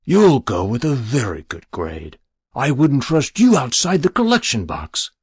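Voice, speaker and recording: Storybook devil, performed by a male narrator: genteel businessman, unctuous and mock-pious, very animated delivery, slightly gritty tone. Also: medium pitch, measured pace, breathy edge, no room sound, studio quality, pitched above the performer's own voice, exaggerated voice. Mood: slyly amused. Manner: easy, unctuous, mock-pious, precise diction. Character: smooth sinister devil posing as genteel businessman. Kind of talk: dramatic literary storytelling.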